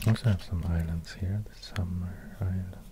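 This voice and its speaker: A man with a Swedish accent speaking in a hushed, breathy whisper, narrating ASMR as he draws, close to a binaural microphone. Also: low voice, fairly even intonation, noisy background. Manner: slow, hushed, with long pauses. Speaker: ASMR video creator. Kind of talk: soft-spoken ASMR, whispered narration while drawing.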